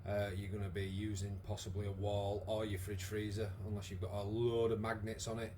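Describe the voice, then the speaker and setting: Man, croaky, lowish voice, chatty instructor, faint room hum. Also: completely flat delivery, fairly quick, darkish tone. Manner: chatty, encouraging, lots of fillers. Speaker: exercise class instructor. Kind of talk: live online exercise class. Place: home room with wall and bar. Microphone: device camera, moves away at times.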